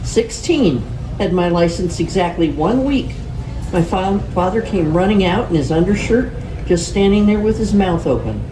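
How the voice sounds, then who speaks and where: A woman's voice: lowish voice, lively intonation, normal speed, lightly acted. A woman in an amateur stage reading, playing a mischievous older woman who recalls teenage blunders. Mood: amused, nostalgic. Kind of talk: amateur readers theater. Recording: roomy, distant mic, clear noise.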